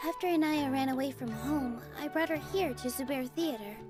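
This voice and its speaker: A woman playing a gentle, earnest and apologetic young stage performer in a video game, sincere in manner. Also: high-pitched, fairly quick, close to an everyday voice. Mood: worried, pensive. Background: game music.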